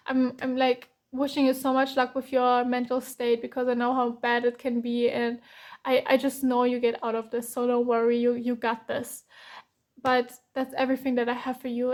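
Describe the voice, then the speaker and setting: Hoarse high-voiced woman reading tarot. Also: darkish tone. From Germany. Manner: reassuring, repeats herself, many fillers. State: hoarse, voice cracking. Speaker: tarot reader. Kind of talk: casual pick-a-card tarot reading.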